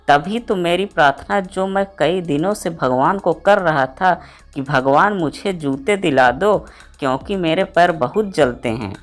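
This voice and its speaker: A woman voicing an innocent, grateful poor boy of about ten in a storybook tale, earnest, polite and trusting. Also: low voice.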